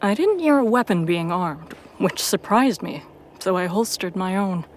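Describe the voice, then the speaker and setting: A woman's voice: mid-range voice, expressive, moderate pace, a little hiss or hum. Woman narrating dramatic fiction, faint background ambience. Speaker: narrator of a fan fiction audio story. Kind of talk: dramatic first-person scripted narration.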